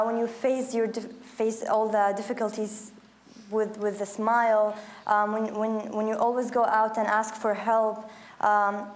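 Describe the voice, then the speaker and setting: A woman in her early twenties from Iraq, speaking as a panelist with many pauses, ums and restarts. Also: medium pitch. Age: 20s. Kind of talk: panel discussion.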